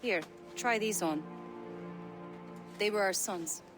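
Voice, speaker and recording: An actress playing a kindly, fussing older Irish wife in a video game cutscene. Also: female voice, mid-range voice, slow, music in the background, natural voice. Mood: tender, fussing. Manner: fussing, affectionate, exclaiming. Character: warm motherly older Irish colonial wife, scolding and kind.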